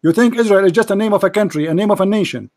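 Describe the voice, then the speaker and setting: A man's voice: high voice, racing, darkish tone, no room sound, clean studio sound. A man debating hotly, mocking and interrupting his opponent and repeating his demands. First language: Arabic. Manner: mocking, combative, repeats himself, laughs at opponent. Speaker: debate host. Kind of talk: heated religious debate.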